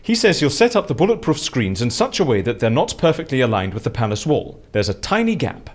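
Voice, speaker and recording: An actor playing an excitable, easily exasperated man who masterminds schemes, with an emphatic, theatrical delivery in an audio drama. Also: mid-range voice, fairly quick. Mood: scheming, brisk. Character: excitable, exasperated male scheme-master plotting a staged assassination.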